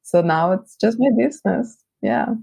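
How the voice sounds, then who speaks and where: A woman with an Italian accent whose first language is Italian, chatting as an interview guest, friendly and quick to laugh. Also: mid-range voice, big pitch swings, gravelly, warm tone, dry recording. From Italy. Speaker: guest, brand designer. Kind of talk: casual interview chat.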